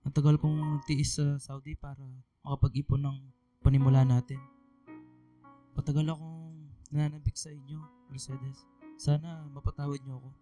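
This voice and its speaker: A man's voice: on the high side, level tone, moderate pace, long pauses, warm tone, soft background music, close to an everyday voice. An actor playing a remorseful older father in a radio drama, earnest and apologetic, with a Filipino accent. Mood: remorseful, pleading. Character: remorseful older father returning to abandoned family.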